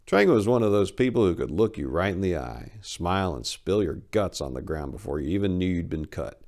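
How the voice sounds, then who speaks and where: Low-voiced man, dramatic, widely swinging narration. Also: animated, fairly quick, darkish tone. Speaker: story narrator. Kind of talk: dramatic reading of war fiction.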